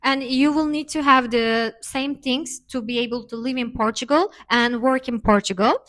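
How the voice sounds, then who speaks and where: A woman's voice: a little high, normal speed, a bit bright. A woman from Turkey, co-hosting a casual chat and explaining things with frequent hesitations. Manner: explanatory, many hesitation fillers. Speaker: co-host.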